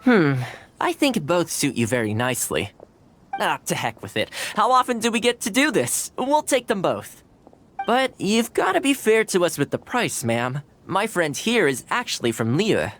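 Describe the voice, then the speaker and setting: An actor playing a friendly, easygoing young male retainer in a video game, talking in a relaxed, chatty way. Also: high-pitched, normal speed, a little hiss or hum, heavily acted. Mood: cheerful. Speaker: game character.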